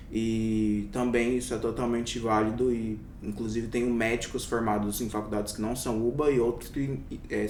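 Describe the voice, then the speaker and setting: Young adult man from Brazil, interview guest; hesitant and reflective, mid pitch, a bit distant from the mic in a roomy space. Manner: reflective, many hesitations and fillers. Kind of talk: casual interview. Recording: echoey room, somewhat off-mic, faint rumble.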